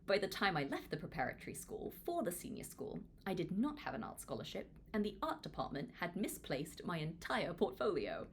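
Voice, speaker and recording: Female narrator, lowish voice with animated swings, informal read-along delivery, slight background noise. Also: brisk, hardly any pauses. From Hong Kong. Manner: expressive, informal, self-deprecating. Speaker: author reading their own book aloud. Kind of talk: audiobook read-along with casual asides.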